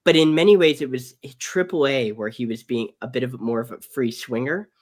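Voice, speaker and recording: A man's voice: medium pitch, fairly quick. Man with a strained, fading voice. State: losing his voice. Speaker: co-host, prospect analyst. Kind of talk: casual baseball prospect discussion.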